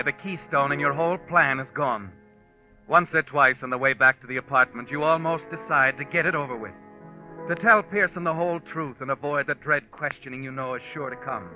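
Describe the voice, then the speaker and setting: An actor playing an ominous, all-knowing American narrator in 1940s suspense radio, brooding and deliberate, taunting the guilty man. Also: a little high, close to an everyday voice. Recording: muffled old radio recording. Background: dramatic music.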